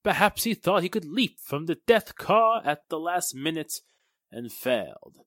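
A male actor performs an evasive businessman from a 1940s radio drama. His forceful voice swings widely in pitch with theatrical emphasis. The sound is close and dry. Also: big pitch swings, measured pace, no room sound. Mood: flustered, insistent. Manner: theatrical, emphatic, faltering when challenged. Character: excitable, evasive businessman Mister Lawton, a murder suspect.

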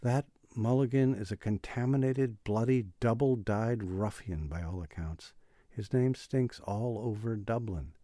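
A man's voice: on the low side, a little slow, dark tone, no echo, studio quality, lightly acted. A man voicing an angry, scornful Irish father in an audiobook novel, indignant and emphatic. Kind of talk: audiobook character dialogue.

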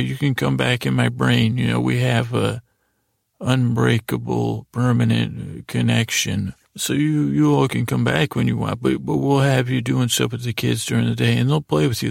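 A man improvises a sleepy-time podcast in a voice thick with fry and gravel. His pitch barely moves as he meanders, repeats himself and drifts off into whimsical asides. The sound is close and very dry, with no room around it. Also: no room sound. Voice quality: creaky, gravelly. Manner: meandering, stammering, repeats words and trails off; whimsical, absurd tangents in an earnest tone. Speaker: podcast host. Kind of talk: rambling improvised bedtime podcast monologue.

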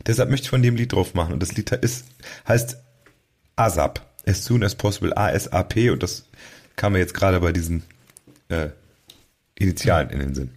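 A man's voice: low-pitched, moderate pace, pauses often, darkish tone, husky. A man hosting a podcast in casual chat, rambling a little and correcting himself mid-sentence. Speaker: podcast host. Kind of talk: casual chat about music.

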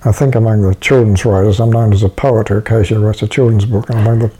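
An older man, a poet and children's writer, talking in a hesitant, soft-spoken way with many fillers in a home interview. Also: fairly low pitch, fairly even intonation, fast talker, slightly rough. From New Zealand.

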